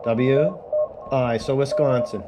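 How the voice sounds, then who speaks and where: Casual Canadian ham operator, mid-pitched man, relaxed, thinking aloud, loud radio static behind. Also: slow pace. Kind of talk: casual narration of radio contacts.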